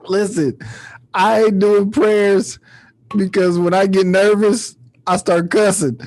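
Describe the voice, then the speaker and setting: A man's voice: high-pitched, level tone, slow pace, a little mellow, slight background noise, poor audio. A man hosting a podcast in casual chat, very informal and slangy, swearing freely. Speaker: podcast host.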